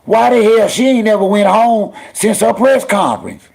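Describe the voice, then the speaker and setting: A man's voice: high-pitched, moderate pace, creaky, darkish tone, breathy edge, low-quality recording. A man in his fifties hosting a call-in talk show, talking in an opinionated, emphatic way. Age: 50s.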